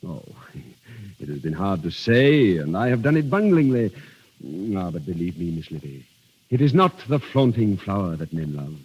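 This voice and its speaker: An earnest, courtly captain, swooping intonation. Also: male voice, low voice, sing-song, normal speed, slightly rough, highs cut off, exaggerated voice. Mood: gently urging. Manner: formal, courtly, hesitant phrasing with frequent "ma'am". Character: earnest, gallant army captain confessing his love, courtly and gentle. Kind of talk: vintage radio drama acting. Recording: old radio recording with music behind.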